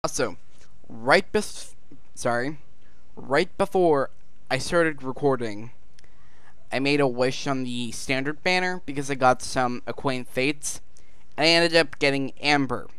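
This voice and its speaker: A man's voice: mid-range voice, fairly bright tone, clean tone, no room sound. A man doing casual, informal let's play commentary, rambling along as a gaming video host. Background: game music.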